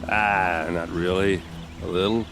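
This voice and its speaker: An actor playing an exuberant, tipsy guard captain in a video game, loud-mouthed and celebratory. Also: male voice, sits low, fairly even intonation, a little slow, over music. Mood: tipsy, cheerful.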